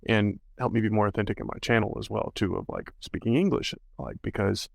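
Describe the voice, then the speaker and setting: A man's voice: lowish voice, fairly even intonation, a little fast, gravelly, dry recording. A male podcast host chatting casually and rambling, with many fillers. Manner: rambling, full of "you know" and "like". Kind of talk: casual interview chat.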